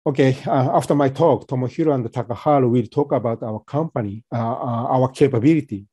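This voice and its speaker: Halting soft-spoken man presenting. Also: medium pitch, slightly rough, no echo. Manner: halting, many fillers and restarts. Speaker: company presenter. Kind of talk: webinar presentation.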